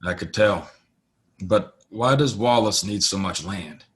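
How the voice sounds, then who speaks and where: A man's voice: on the low side, measured pace, fairly bright tone. A man playing a polite, modest cowboy stranger in a western audio play, courteous and understated. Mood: measured.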